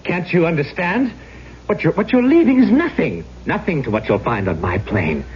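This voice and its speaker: An actor playing the intense, pleading ghost of a man in a theatrical, halting 1970s radio drama style. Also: on the high side, very animated. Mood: desperate insistence. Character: intense pleading ghost of a man who took his life. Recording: dull, noisy old radio recording.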